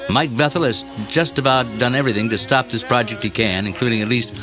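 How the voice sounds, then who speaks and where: A man's voice: mid-range voice, fast talker. Emphatic radio newsman with music underneath. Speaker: radio news reporter and commentator. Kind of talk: scripted radio editorial.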